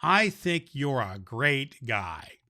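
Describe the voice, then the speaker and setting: A man's voice: mid-range voice, big pitch swings, drawn-out words, bright, forceful tone, no echo, studio quality, exaggerated voice. Man playing folksy jolly old Santa Claus. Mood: jolly, affectionate. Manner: folksy, effusive, addresses children directly. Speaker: host. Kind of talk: children's show monologue.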